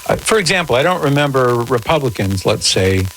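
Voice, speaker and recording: A man hosting a radio call-in show, answering in a measured, articulate, conversational way with formal wording. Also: mid-range voice, very animated. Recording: noisy radio broadcast with static.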